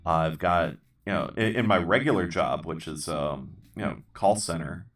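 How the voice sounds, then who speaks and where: A man hosting his own channel, talking in a halting, casual way with lots of ums and restarts and a dry, self-deprecating humor. People tell him his voice suits voiceover work. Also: low-pitched, fairly bright tone, distant mic. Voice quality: voice others call good for voiceover. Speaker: channel host. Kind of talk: casual rambling chat while building.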